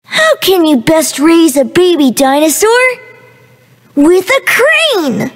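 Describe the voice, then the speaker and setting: A woman's voice: very high voice, very animated, slow pace, in a large hall, full character voice. A woman voicing a playful, innocent baby dinosaur for a video game, with a childlike, bubbly, exaggerated delivery. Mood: joking, playful. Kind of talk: video game character voice lines.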